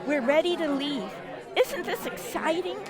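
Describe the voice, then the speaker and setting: A woman in amateur radio theater playing an excited, gushing passenger waiting to depart. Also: high-pitched, measured pace. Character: excited, chatty female passenger awaiting departure. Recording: busy crowd hubbub behind.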